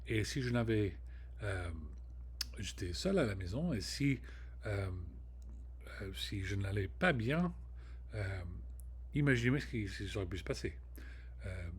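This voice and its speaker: A man's voice: fairly low pitch, slightly rough. A man hosting his own podcast, talking casually in with many restarts and fillers and slipping into English words. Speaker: podcast host. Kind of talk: casual monologue to camera.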